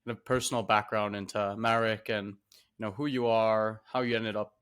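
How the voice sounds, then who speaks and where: A man hosting an interview podcast, introducing his guest and asking relaxed questions with filler words. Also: fairly low pitch, moderate pace, clean tone. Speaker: podcast host.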